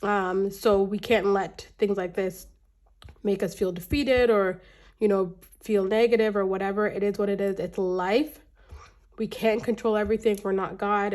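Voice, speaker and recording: A woman in her thirties from Canada, chatting casually to camera about her budget, her voice a little raspy and congested from a cold, talking in a rambling way with many restarts. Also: mid-range voice, darkish tone. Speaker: budgeting vlogger.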